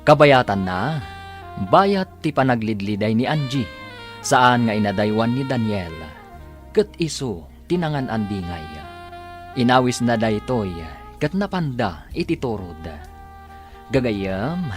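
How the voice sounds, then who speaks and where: A man announcing and narrating a radio drama from a script in a deliberate, dramatic manner. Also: mid-range voice, lively intonation. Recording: music under the announcer.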